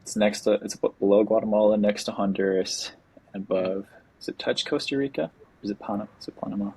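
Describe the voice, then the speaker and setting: Low gravelly-voiced man hosting a podcast. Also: fairly even intonation, fairly quick, darkish tone. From United States. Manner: earnest, enthusiastic, often restarts words. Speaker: podcast host. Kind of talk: casual interview chat.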